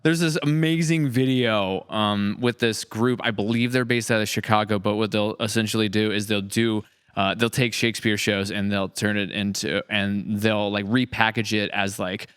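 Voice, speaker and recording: Male podcast host, low smooth voice, rambling and enthusiastic, conversational. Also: steady tone, normal speed, few pauses, fairly bright tone. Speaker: podcast host, audio drama creator.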